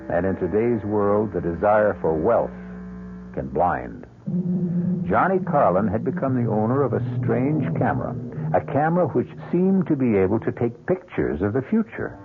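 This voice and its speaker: A man playing an ominous, philosophical mystery host, narrating in a measured, theatrical, moralizing manner on old-time radio. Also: lowish voice, vocal fry, exaggerated voice. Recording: muffled, hissy old radio broadcast.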